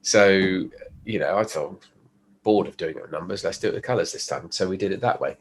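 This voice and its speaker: A low-voiced man, nasal and stuffed up from a cold, talks through his design process in an easygoing, rambling way. A faint hiss sits behind him. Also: fairly quick. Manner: relaxed, explanatory, with fillers and restarts. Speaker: guest, pickup designer. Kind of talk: casual podcast conversation.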